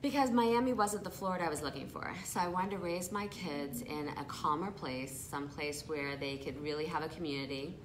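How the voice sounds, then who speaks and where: A woman's voice: low voice, fairly quick, hardly any pauses, noisy background. A middle-aged woman from Brooklyn, a guest chatting casually and laughing often in an interview. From Brooklyn, New York. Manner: lively, laughs often, talks over the host.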